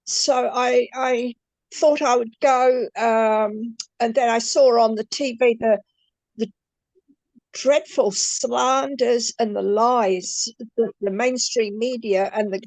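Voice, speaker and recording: Older kiwi lady, gravelly and kinda high, talks real slow and halting, dry close sound. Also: darkish tone, no echo, poor audio. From New Zealand. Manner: slow, halting, repeats words as she goes. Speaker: guest. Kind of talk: conversational interview.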